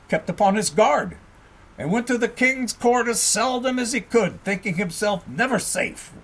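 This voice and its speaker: A male audiobook narrator with a high voice that has a rough, husky grain. His pitch swings widely as he reads a historical tale. The delivery is expressive and measured, like scripted storybook narration. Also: low-quality recording.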